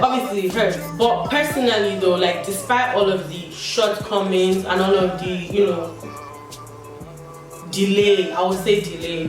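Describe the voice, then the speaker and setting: A young woman chatting candidly while doing her makeup, with slangy asides. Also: medium pitch. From Nigeria. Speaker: beauty vlogger. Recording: echoey room, distant mic, background music. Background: background music.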